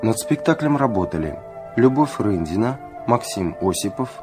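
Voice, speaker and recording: Low-voiced male radio announcer over music. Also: sing-song, normal speed. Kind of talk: scripted closing credits announcement. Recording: music playing behind the voice.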